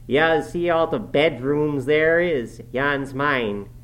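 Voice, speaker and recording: A man voicing a grumbling, pious old manservant in broad Yorkshire dialect, sneering and scolding with drawn-out words. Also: a little high, a bit bright, pitched above the performer's own voice. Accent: broad Yorkshire dialect, put on.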